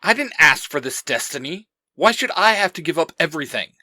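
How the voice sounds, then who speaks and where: A man's voice: a little high, big pitch swings, a little fast, pushed and bright, dry recording, exaggerated voice. A man playing a frustrated, reluctant young prince in a fan fiction story, protesting and conflicted. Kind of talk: dramatised fan fiction dialogue.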